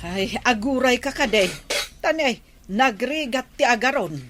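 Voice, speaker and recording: A woman's voice: fairly high pitch, expressive, measured pace. An actress with an Ilocano Filipino accent playing a grumbling, long-suffering village woman in a radio comedy drama. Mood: complaining.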